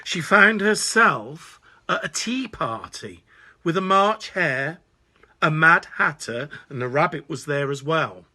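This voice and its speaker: A man reading a children's bedtime story with an expressive, deliberate delivery. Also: on the high side.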